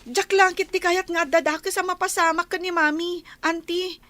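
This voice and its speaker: An actress playing a devoted, anxious young daughter, respectful and pleading, in a radio drama. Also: female voice, extremely high pitch, steady tone, moderate pace, a bit bright. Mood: worried, insistent. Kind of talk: Ilocano radio drama acting.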